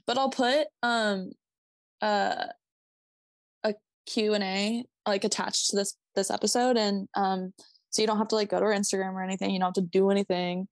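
A woman with a light Minnesotan accent chats loosely on her podcast, circling her point with lots of "like" and quick laughs. Her voice sits at a middle pitch and is recorded dry and close, with no room sound. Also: fairly even intonation, no room sound. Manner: rambling, self-interrupting, laughing, swearing. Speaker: podcast co-host. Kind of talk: casual chat.

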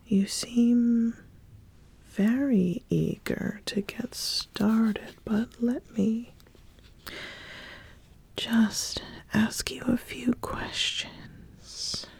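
Ambitious, appraising witch played by a woman, ASMR roleplay; breathy, mellow whisper, deliberate and very unhurried. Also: a little high, fairly even intonation, full character voice. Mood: probing, reserved. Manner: slow, deliberate, measured, with long pauses. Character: ambitious, appraising witch interviewing a would-be apprentice.

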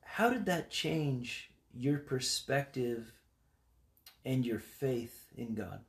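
An interviewer speaks calmly and asks open questions, steering a personal conversation. The voice is recorded in an echoey room. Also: mid-range voice, takes it slowly. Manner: gentle, prompting questions.

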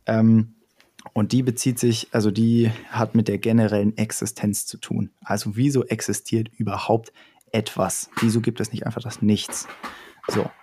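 A young man with a fairly low, mellow voice talks through an idea in casual conversation. He explains step by step, stopping often for fillers and starting sentences over. The delivery stays relaxed and unhurried. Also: husky.

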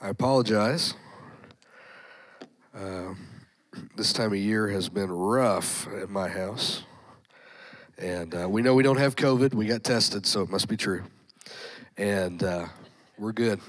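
A man's voice: on the low side, takes it slowly, long pauses. A man preaching a sermon to his congregation, sounding nasal and congested from a cold, punctuating lines with frequent amens and folksy asides. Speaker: pastor. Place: church sanctuary with congregation.

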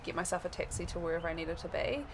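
A woman with a New Zealand accent, chatting casually as an interview guest, rambling with lots of fillers and quick laughs. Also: fairly low pitch, fairly even intonation, a little hiss or hum.

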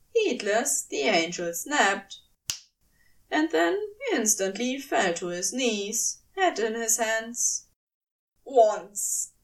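A woman narrates scripted fiction with dramatic intent. Her voice is high and very expressive in its rise and fall. She takes her time over the phrases, recorded slightly away from the mic. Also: vocal fry, far from the mic. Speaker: podfic narrator.

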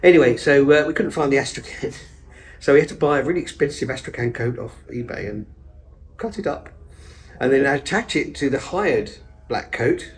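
A man talks loosely and eagerly about his theatre work. He rambles, restarts his sentences and piles on fillers. His pitch sits in an ordinary middle range. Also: expressive, moderate pace. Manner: enthusiastic, rambling, self-interrupting with fillers. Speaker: actor and stage director, podcast guest. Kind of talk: casual conversational interview.

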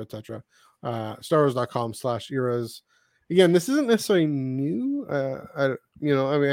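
A man co-hosting a podcast in casual chat, with a halting, jokey delivery. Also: mid-range voice, dry recording, clean studio sound. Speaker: podcast co-host.

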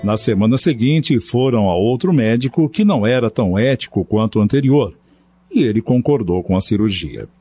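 A man's voice: medium pitch, expressive, moderate pace, mellow, breathy edge. A man reading scripted narration for a radio drama. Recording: dull lo-fi, faint music behind.

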